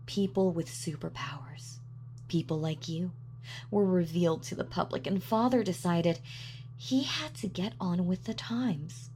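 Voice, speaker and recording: A woman's voice: fairly low pitch, unhurried, darkish tone, slight background noise. A woman playing a sadistic, gloating female supervillain in a scripted roleplay audio, taunting and savoring her words theatrically. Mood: smug, reminiscing. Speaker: voice actor.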